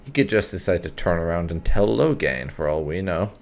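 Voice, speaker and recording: A man's voice: deep voice, very animated, brisk, gravelly, a little hiss or hum. A man giving casual roleplay playthrough commentary as a gaming host, musing and thinking aloud.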